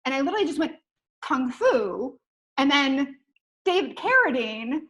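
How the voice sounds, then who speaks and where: Woman, middle-aged, high-pitched. Animated, casual storyteller on a home video call. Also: moderate pace. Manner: animated retelling with quoted dialogue. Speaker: television writer. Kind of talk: casual anecdote. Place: room at home.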